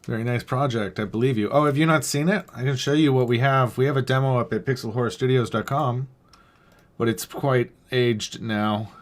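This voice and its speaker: Man, mid-pitched voice, casual livestream commentary, game developer, thinking aloud, chatting with viewers. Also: normal speed. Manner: thinking aloud, reading and answering chat. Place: indoors at a computer desk.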